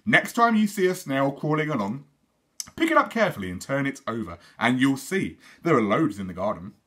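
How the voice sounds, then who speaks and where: A man reads the part of a father in a children's story, patiently explaining and gently teasing. His pitch moves in a lively way, and the tone is bright with some huskiness. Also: brisk, breathy edge.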